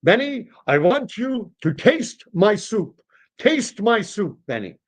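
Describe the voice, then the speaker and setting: A man's voice: sits high, very animated, takes it slowly, voice pushed up, full character voice. A man playing a stubborn, demanding old male deli customer in a joke, insisting and repeating himself demonstratively.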